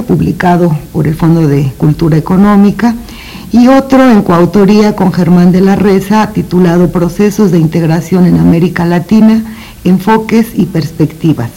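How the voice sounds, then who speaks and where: A woman hosting a formal interview, introducing her guest in measured tones and posing questions. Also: fairly low pitch, warm tone, rough recording. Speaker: interviewer, host.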